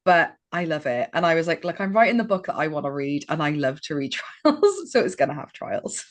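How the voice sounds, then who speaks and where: Welsh woman author in her thirties, lower voice, eager and chatty with little laughs. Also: big pitch swings, brisk. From Wales. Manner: enthusiastic, lots of fillers and small laughs. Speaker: author guest. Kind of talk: casual interview chat.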